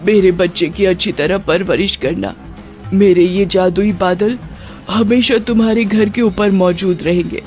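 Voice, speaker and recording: A woman's voice: medium pitch, a little slow, darkish tone, natural voice. Woman as a witch mother, sorrowful, earnest, grateful, storybook horror tale, music behind. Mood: grateful, tender. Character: sorrowful, grateful young witch mother longing for her child.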